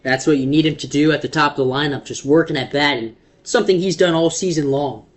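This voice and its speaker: A man's voice: on the high side, quick pace. A man giving conversational baseball analysis as a color commentator on a radio broadcast.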